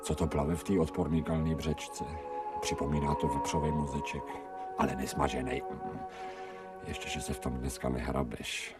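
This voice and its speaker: A man's voice: rumbling low, little pitch movement. A man playing a smug, gluttonous pet dog in a radio play, savouring his thoughts and murmuring with relish. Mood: blissful, fawning. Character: smug, gluttonous male stray dog turned pampered pet. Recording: distant-miked radio production with music.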